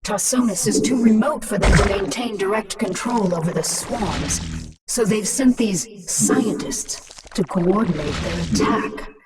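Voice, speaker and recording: A woman's voice: medium pitch, a little slow, far from the mic, heavy background noise, close to an everyday voice. A woman playing a ruthless alien swarm queen in a video game, commanding and scornful in manner. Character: ruthless alien swarm queen, transformed human, imperious and taunting.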